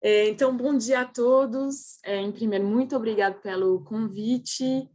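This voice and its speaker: A woman's voice: on the high side, normal speed, darkish tone. A woman with a French accent, a native French speaker, presenting in a video-call roundtable with many hesitations and restarts. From France. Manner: hesitant, frequent filler "é" and restarts. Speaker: panelist.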